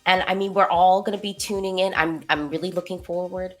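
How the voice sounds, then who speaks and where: A woman's voice: on the low side, steady tone, brisk, soft background music. An American woman interviewing a guest in a casual, chatty way, her questions full of fillers. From United States.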